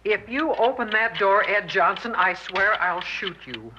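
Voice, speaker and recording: A woman's voice: medium pitch, expressive, a little slow, natural voice. Actress as tense, protective mother, very noisy recording. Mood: hard, threatening. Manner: tense, insistent, clipped. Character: fearful, hard, fiercely protective mother in a fallout shelter. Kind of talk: 1960s radio drama acting. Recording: very noisy, muffled old radio recording.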